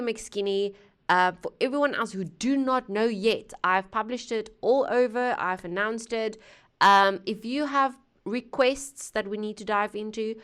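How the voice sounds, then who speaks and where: A woman with a smooth, clean voice of middling pitch talks to her viewers from a home studio. She rambles through a casual chat full of fillers and side remarks and teases herself along the way. Her voice is picked up by a gaming headset. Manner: rambling and chatty, with many fillers and asides; playful, laughing at herself. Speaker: music reaction channel host.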